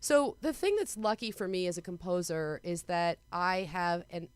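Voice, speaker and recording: A woman composer chatting as a guest on a talk show, telling lively stories with quick asides. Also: no echo. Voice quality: smooth, very low singing voice. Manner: animated storyteller, quick asides. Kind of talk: casual interview chat.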